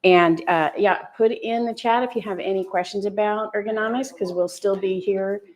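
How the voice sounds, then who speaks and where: A woman's voice: medium pitch, steady tone, moderate pace, a little mellow. A woman hosting a live online workshop, talking casually with frequent fillers. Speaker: workshop host.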